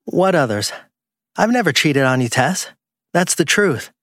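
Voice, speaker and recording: A man's voice: on the high side, sing-song, measured pace, fairly bright tone, no echo, clean studio sound, voice pushed up, exaggerated voice. A man playing a trapped, guilty man in an audiobook crime story, fearful and pleading. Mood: pleading denial. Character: Darren, trapped, panicking, secretly guilty man. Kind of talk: audiobook dialogue acting.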